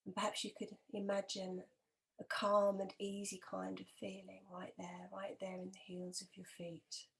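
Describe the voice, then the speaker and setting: A woman leading a guided relaxation meditation as a hypnotherapist, calm, gentle and measured, with long pauses and a soft, sometimes breathy voice. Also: mid-range voice, low-quality recording.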